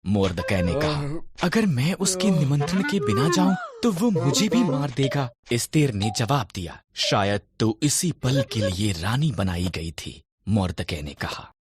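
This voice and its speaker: A narrator telling scripted Bible stories for children in a deliberate storytelling delivery. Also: mid-range voice, big pitch swings, breathy edge. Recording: background music under the narration. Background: music.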